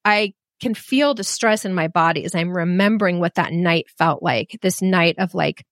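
A middle-aged woman telling a personal story in a frank, animated and self-deprecating way. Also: lowish voice, normal speed, no room sound, studio quality. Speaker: storyteller guest.

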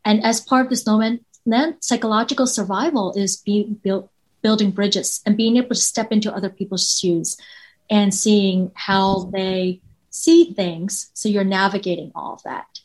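A woman's voice: medium pitch, fairly even intonation, normal speed, a little mellow, low-quality recording. A woman with a Taiwanese accent, a professor speaking as a guest, earnest and reflective, building her points with rhetorical questions. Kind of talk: reflective interview answers.

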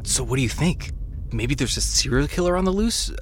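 An actor playing a young, eager, inquisitive police detective in an audio drama. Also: male voice, medium pitch, animated, brisk, a little hiss or hum, lightly acted. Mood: eager, curious. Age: young adult. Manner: eager, questioning.

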